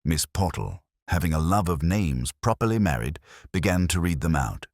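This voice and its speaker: A man narrating a scripted mystery story in a dry, measured, wry literary manner. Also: a bass voice, animated, darkish tone, no echo.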